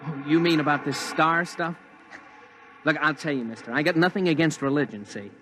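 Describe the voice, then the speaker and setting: A man's voice: a little high, a little fast, sharp tone, poor audio. An actor playing a blunt, streetwise man in a 1950s radio drama, talking in a folksy, dismissive way. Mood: skeptical. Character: blunt streetwise middle-aged everyman, skeptical.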